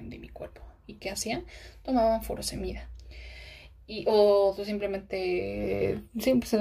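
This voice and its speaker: A woman teaching pharmacology while writing on a diagram. She keeps checking in with rhetorical questions and sometimes drops into a hushed aside. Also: medium pitch, gravelly. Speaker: pharmacology tutor.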